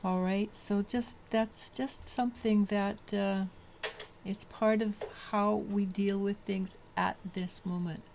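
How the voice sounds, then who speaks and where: Woman tarot reader, low mellow voice, flat delivery, halting. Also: vocal fry, a little hiss or hum. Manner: halting, many restarts and fillers. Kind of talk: daily tarot reading talk.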